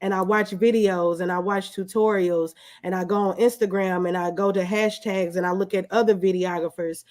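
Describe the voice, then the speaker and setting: A woman's voice: mid-range voice, fairly even intonation, moderate pace, few pauses, darkish tone. A woman speaking as an interview guest, a small business owner talking casually and candidly through personal anecdotes.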